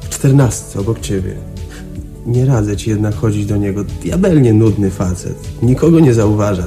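An actor playing a bored, companionable sanatorium resident in a Polish radio drama. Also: male voice, lowish voice, moderate pace, over music, lightly acted.

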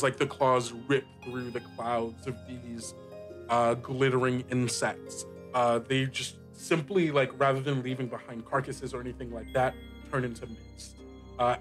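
A man improvising narration as a game master, casual and halting, with lots of filler words. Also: mid-range voice. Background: music bed.

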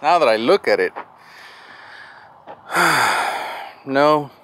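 A man's voice: medium pitch, animated, drawn-out words, sharp tone. Man chatting over noisy outdoor work. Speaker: vlogger working on machinery. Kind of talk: casual chat while doing hands-on work. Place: outdoor gravel yard beside a metal shop building. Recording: noisy outdoor camera audio, machinery hum.

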